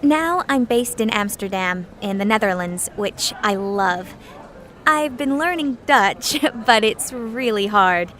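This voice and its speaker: A woman's voice: medium pitch, expressive, takes it slowly, a bit bright. A woman from Korea, speaking in an easygoing, reflective way as an interviewee. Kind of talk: scripted course-book interview.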